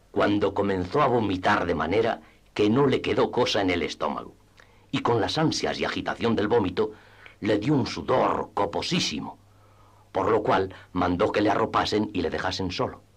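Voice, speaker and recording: A man's voice: fairly low pitch, lively intonation, normal speed, slightly rough. A man narrating a classic literary tale for radio theatre in a scripted storytelling style.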